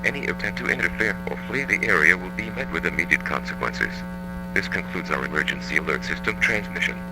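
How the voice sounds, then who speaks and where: Male government alert voice, very low with plenty of fry, formal and impersonal, loud music behind. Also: lively intonation, quick pace, poor audio. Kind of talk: scripted emergency announcement.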